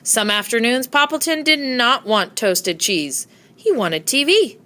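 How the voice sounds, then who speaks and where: Animated woman reading a children's storybook. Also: a little high, a little slow. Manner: expressive storytelling. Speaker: storybook reader. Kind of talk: children's read-aloud narration.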